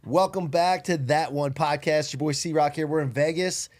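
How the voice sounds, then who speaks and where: A man's voice: a little high, fairly even intonation, moderate pace, darkish tone, dry recording. A man hosting a podcast, chatting in an easygoing way with many fillers. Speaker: podcast host.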